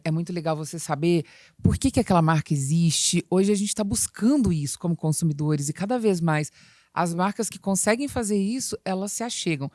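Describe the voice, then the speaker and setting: A woman's voice: lowish voice, mellow, no room sound. A woman hosting a business podcast, steering the talk and giving her opinion point by point. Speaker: podcast host. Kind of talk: casual business chat.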